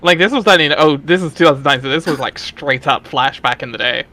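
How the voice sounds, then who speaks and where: A man's voice: on the high side, fast talker, pushed and bright. A man chatting casually and slangily, with some swearing, as co-reader and commentator during a group reading.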